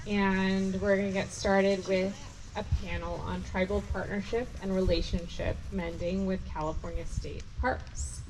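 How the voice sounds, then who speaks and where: A woman facilitating a meeting, briskly announcing the next panel and handing over the microphone. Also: mid-range voice, steady tone, noisy background.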